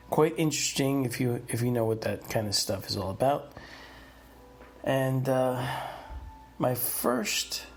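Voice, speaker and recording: Gravelly-voiced male podcast host. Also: medium pitch, slight background noise. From northeastern United States. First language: Italian. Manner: rambling, many fillers and restarts. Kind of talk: personal storytelling monologue.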